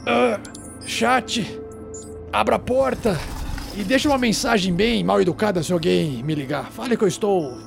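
A man's voice: high-pitched, normal speed, creaky, soft background music. A man voicing a small, eccentric, paranoid germophobe hacker who mutters theatrically to himself in a tabletop game. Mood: smug, muttering. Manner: theatrical muttering to himself, grumbling, self-satisfied.

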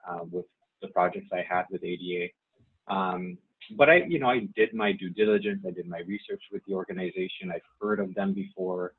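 A deep-voiced man from Saipan, Chamorro his first language, talks through an interview slowly and haltingly, circling back with ums and restarts. His voice is husky and low. It comes through a thin, phone-like line. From Saipan, Northern Mariana Islands. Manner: hesitant, many fillers and restarts. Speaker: guest, nonprofit staff member. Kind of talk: casual interview.

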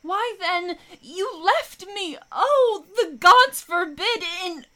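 Heartbroken young sweetheart for Shakespeare radio drama, played by a woman: extremely high, bright voice, plaintive and bewildered. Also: lively intonation, slow pace, full character voice. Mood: distraught, pleading. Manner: plaintive, theatrical, questioning. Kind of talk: amateur Shakespeare radio play acting.

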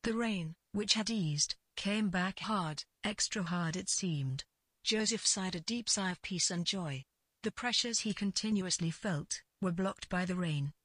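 A woman narrates scripted fiction in a lowish, husky voice. Her phrasing is deliberate, with pauses between short clauses. The sound is close and dry, with no room to it. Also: dry recording.

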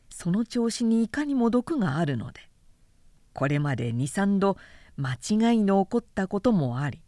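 A woman narrator reading a period novel in measured, carefully articulated scripted narration. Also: mid-range voice, mellow, dry recording, clean studio sound.

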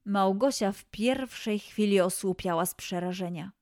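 A woman storyteller narrating a scripted children's tale in an expressive, deliberate way. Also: medium pitch, no room sound, studio quality.

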